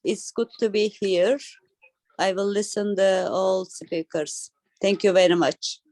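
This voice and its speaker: A woman's voice: mid-range voice, fairly even intonation, a little slow, a little mellow, no room sound. A woman from a foundation briefly and politely introducing herself on a video call. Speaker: foundation member.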